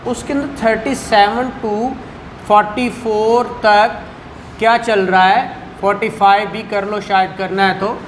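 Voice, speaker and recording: A man's voice: high voice, steady tone, a little slow, a little hiss or hum, low-quality recording. A man with a Pakistani Punjabi accent giving a didactic classroom law lecture, repeating points and questioning students. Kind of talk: classroom lecture, code-switching into English terms.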